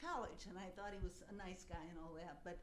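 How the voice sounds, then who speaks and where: A woman's voice: fairly low pitch, fast. A woman in her seventies asking a casual, personal question from the audience, searching for her words as she goes. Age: 70s. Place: live book talk audience. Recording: slight noise on event recording.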